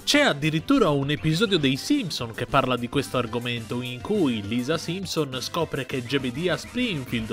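A man's voice: a little high, a bit bright. A man narrating a casual opinion commentary voice-over in an informal, conversational way with ironic asides. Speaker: video creator and narrator. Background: music under the voice.

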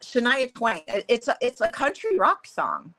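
Middle-aged woman guest, crisp bright tone, chatty and rambling, webcam audio from home. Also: wildly swooping pitch, normal speed. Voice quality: deeper voice for a woman. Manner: chatty, many fillers and restarts, laughs often. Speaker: guest, photographer and songwriter. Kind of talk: casual interview chat. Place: home room, video call.

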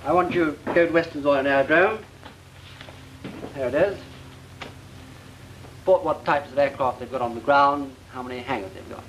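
A man's voice: mid-range voice, normal speed, pauses often, fairly bright tone, slight background noise, rough recording. A man as a briefing officer, giving curt, matter-of-fact orders in 1940s training film dialogue.

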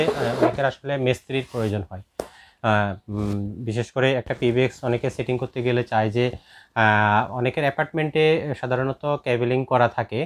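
A man with a Bangladeshi accent giving an informal product demonstration as a shop seller, explaining and repeating his points. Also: medium pitch.

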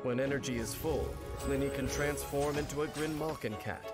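Actor as theatrical male game narrator over loud music. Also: mid-range voice, lightly acted. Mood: polished, explanatory. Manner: measured, articulate, theatrical. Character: eloquent male narrator presenting a magician's tricks. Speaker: narrator of a game character showcase. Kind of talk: scripted narration, theatrical showman-like explainer.

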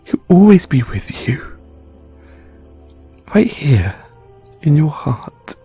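A man performing an intimate comfort roleplay as a gentle boyfriend consoling his grieving partner, speaking in a soothing, tender, hushed way. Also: fairly high pitch, sing-song, slow, husky, soft background music.

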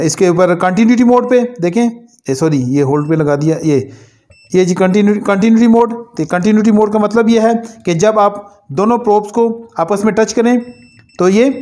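A man's voice: high voice, a little mellow. A man demonstrating an electrical repair at an indoor workbench. He explains step by step, often tells the viewer to look, and corrects himself as he goes. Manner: explanatory, repeatedly saying "dekhen", with small slips and self-corrections. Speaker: repair tutorial host.

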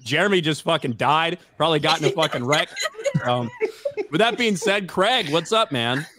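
A man's voice: a little high, expressive, normal speed, background noise. A man hosting a casual livestream chat, joking, swearing and tripping over his words. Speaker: host.

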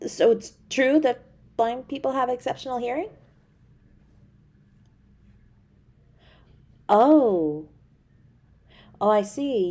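A woman's voice: medium pitch, darkish tone, close to an everyday voice. A woman playing a tender, easily flustered young girlfriend in an intimate audio roleplay, speaking in a hesitant, stammering way. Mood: shy, flustered. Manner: hesitant, stammering, halting delivery.

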